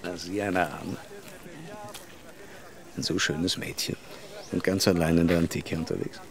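A man's voice: sits low, steady tone, measured pace, creaky, a crowd in the background. A man acting a suave, mocking, sinister adventurer in a radio play. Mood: flirtatious. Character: scarred, suave, mocking German adventurer, secretly a sinister Nazi.

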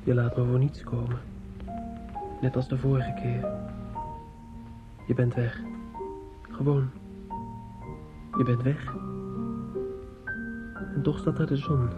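A man's voice: medium pitch, flat delivery, slow pace, pauses often, dull audio, natural voice. An actor playing a lovesick, brooding young medical student in a Dutch radio play, earnest and poetic in his pleading. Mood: anguished longing. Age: young adult. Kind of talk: Dutch radio drama acting. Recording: radio play with music behind.

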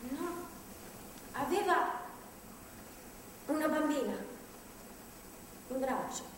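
An actress on a theatre stage playing a chatty, nervously cheerful visitor who rambles with hesitant asides. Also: female voice, high-pitched, animated, extremely slow, full character voice. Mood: chatty, wry. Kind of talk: live stage acting, monologue-like chatter. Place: theatre stage, picked up from far off. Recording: distant hall recording, echoey, faint noise.

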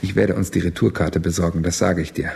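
Gravelly, blunt spaceship crewman. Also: sits low, fairly even intonation, quick pace, darkish tone, exaggerated voice. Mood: urgent, blunt. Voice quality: gravelly, husky. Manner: blunt, urgent. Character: agitated, blunt, determined male spaceship crew member. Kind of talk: German radio drama acting.

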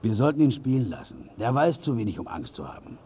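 An actor as an animated, insistent football coach's mate. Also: male voice, medium pitch, fast, slight background noise, close to an everyday voice. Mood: urging. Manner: persuasive, colloquial. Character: keen, insistent male football colleague urging a gamble. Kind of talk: radio drama acting.

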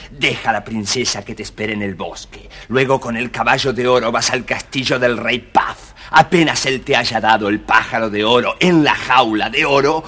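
A man voicing a bossy, quick-tempered talking fox in a children's audio storybook, emphatic and scolding, with a raspy voice. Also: medium pitch, fairly bright tone.

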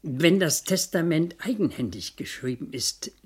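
An actor playing an elderly, pedantic Scottish solicitor in a radio play, speaking slowly and precisely with dry hesitations and a husky voice. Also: male voice, a little high, sing-song, heavily acted. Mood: dry, explanatory. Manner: slow, precise, hesitant murmurs. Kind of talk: German radio play acting.

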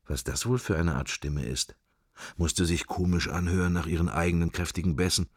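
A man's voice: low-pitched, moderate pace, slightly rough, no room sound, studio quality. An actor playing a wry, sceptical middle-aged man murmuring his fragmentary private thoughts in a radio drama. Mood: reminiscing, wry. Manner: murmured, fragmentary, associative musing. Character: musing middle-aged man, wry, sceptical, worldly, silently observing church. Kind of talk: radio drama interior monologue.

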